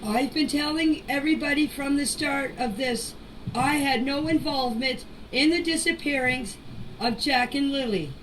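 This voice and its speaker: A mature woman giving an informal spoken statement in a deliberate, halting way, in short phrases, as a voice message. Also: sits high, a bit bright. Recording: distant noisy amateur voice clips.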